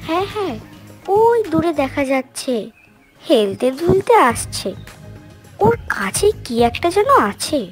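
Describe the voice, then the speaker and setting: A woman's voice: very high-pitched, sing-song, unhurried, darkish tone, soft background music, heavily acted. A woman voicing a pert, outspoken little female bird in a fairy-tale cartoon. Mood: helpful.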